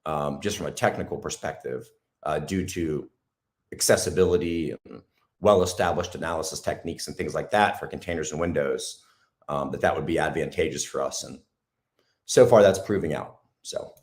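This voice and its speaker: A man with a hoarse, raspy voice, talking casually as an interview guest with many ums and restarts. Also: extremely low pitch. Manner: halting, lots of ums and restarts.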